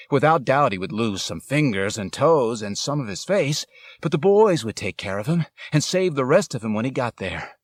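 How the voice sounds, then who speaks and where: Male audiobook narrator, medium pitch, deliberate and dramatic storytelling delivery, recorded dry and close. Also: no room sound. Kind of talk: scripted literary story narration.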